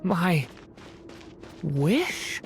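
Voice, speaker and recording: Soft lost young girl made by someone, slow halting voice, game character, faint music behind. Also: lowish voice, sing-song, creaky, full character voice. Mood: bewildered. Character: gentle young female created being, lost and searching. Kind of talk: video game voice acting.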